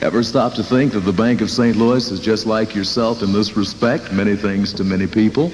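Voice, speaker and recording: A man's voice: on the low side, steady tone, a little fast. A man with an American accent hosting a 1960s Top 40 radio show, chatting folksily to listeners and reading spots. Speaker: radio disc jockey. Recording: 1960s radio aircheck, hissy, long reverb.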